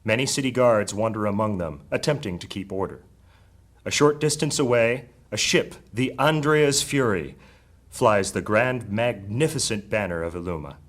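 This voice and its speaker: A man's voice: fairly low pitch, moderate pace. A man narrating a fantasy audio drama in a dramatic, scripted storytelling style.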